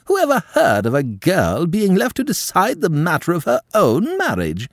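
A man voicing a haughty, prudish aristocratic countess who scolds in a high, shocked voice. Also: huge pitch swings, moderate pace, no echo, clean studio sound, exaggerated voice. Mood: indignant.

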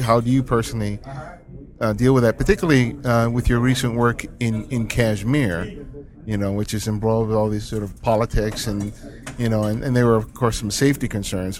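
A man hosting a podcast who asks long, rambling interview questions full of restarts. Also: fairly low pitch. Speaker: podcast host.

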